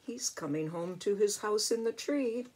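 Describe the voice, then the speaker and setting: An older woman, a grandmother, reading a picture book aloud in an expressive way and chatting to a young child with little exclamations. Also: mid-range voice, measured pace, a little hiss or hum. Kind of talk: storybook read-aloud with chatty asides to a child.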